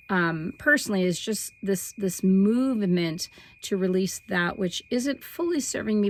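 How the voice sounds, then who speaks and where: A middle-aged woman speaks at a medium pitch, her voice a little hoarse. She talks softly and wanders through her thoughts, drawing out words she wants to stress. It comes across as gentle spiritual chat to her viewers. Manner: soft, meandering, slows down on words for emphasis. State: slightly hoarse. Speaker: spiritual forecaster hosting a weekly video. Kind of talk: casual spiritual talk to camera.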